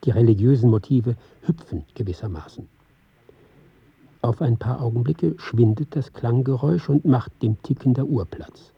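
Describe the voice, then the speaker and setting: An intent reciter of a sound score in a radio play. His voice is very husky and sits at a medium pitch, with precise, deliberate phrases. The studio sound is dry and slightly dull. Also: no echo. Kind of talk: dramatic scripted reading.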